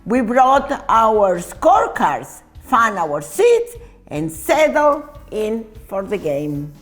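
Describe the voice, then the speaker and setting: A woman reading a children's story aloud in an expressive, deliberate manner. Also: on the high side, faint music behind.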